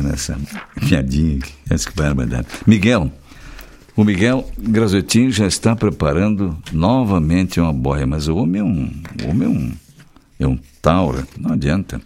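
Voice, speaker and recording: A man's voice: deep voice, gravelly, mellow. Chatty man reading local news. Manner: chatty, folksy commentary between read news items. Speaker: radio news host.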